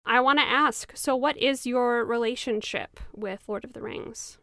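A woman's voice: fairly high pitch, unhurried, fairly bright tone, dry recording, studio quality. A woman co-hosting a podcast in casual chat, enthusiastic and chatty as she talks with her guest. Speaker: podcast co-host.

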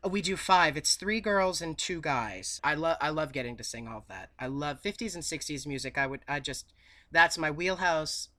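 A man's voice: on the high side, expressive, normal speed, sharp tone. A man in his thirties with a New England accent, chatting effusively as an interview guest and telling stories with emphatic repetition. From Massachusetts, USA. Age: 30s. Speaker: podcast guest, theme park singer.

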